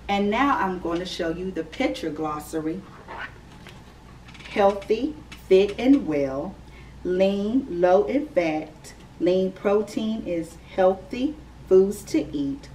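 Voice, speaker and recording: A woman teacher reads a picture book to little children, unhurried and clear, with a sing-song lilt. Her voice sits a touch low for a woman, and she is picked up by a camera mic a few feet away in a classroom with faint room hum. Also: a little mellow, far from the mic, poor audio. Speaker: preschool teacher reading aloud. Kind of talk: children's read-aloud storytime. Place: classroom indoors.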